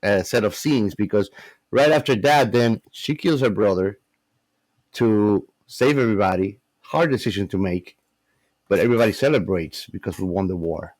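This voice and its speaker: A man's voice: fairly low pitch, lively intonation, moderate pace, darkish tone, dry recording, low-quality recording. A man co-hosting a podcast, talking casually about a film. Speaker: podcast co-host.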